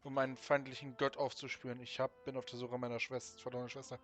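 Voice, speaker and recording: Man, medium pitch, flat intonation, low-key gaming commentary, reading aloud, faint music behind. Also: quick pace. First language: German. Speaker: let's player.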